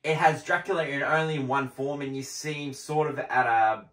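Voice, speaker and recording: A man's voice: mid-range voice, fairly even intonation, normal speed, a bit bright. A man hosting a casual film review show, chatting and bantering with a co-host on a live stream. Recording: echoey room.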